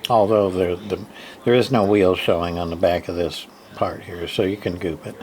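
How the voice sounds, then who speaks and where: A man giving a casual, unscripted hands-on repair tutorial, thinking aloud in a folksy, halting way. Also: low voice. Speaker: hobbyist repairer demonstrating. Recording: steady background noise, room ambience.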